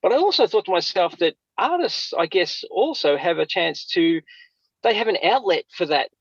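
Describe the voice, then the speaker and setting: A man's voice: high-pitched, sing-song, normal speed, gravelly, slightly rough, no room sound. A man co-hosting a podcast in casual chat, rambling hesitantly and circling back over his words, on a slightly dull recording. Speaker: podcast co-host.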